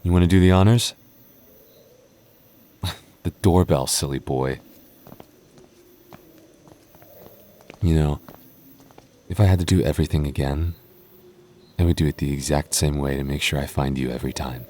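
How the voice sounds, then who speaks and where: Very deep-voiced young goth boyfriend, acted by a man. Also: normal speed, pauses often, mellow. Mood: fond, teasing. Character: protective, jealous, tender goth boyfriend on a spooky adventure. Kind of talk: boyfriend ASMR roleplay, acted conversationally to the listener.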